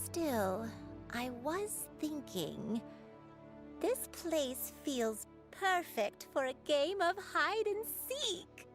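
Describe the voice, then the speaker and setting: An actress playing a gentle, forgetful elderly grandmother in a video game, telling things in a slow, wistful way. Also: sits high, big pitch swings, soft background music, full character voice. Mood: musing. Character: frail elderly granny, gentle, forgetful and self-blaming. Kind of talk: scripted video game dialogue.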